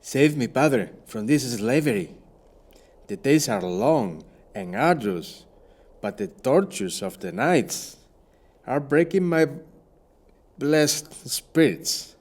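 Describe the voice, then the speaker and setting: A weary Spanish sea captain, shipwrecked and starving, played by a man in an audio play. He speaks at a slow pace in a mid-range voice. His manner is formal, plaintive and old-fashioned. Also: medium pitch, sing-song, darkish tone. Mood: pleading. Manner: formal, archaic, measured. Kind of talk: audio play acting, first-person account.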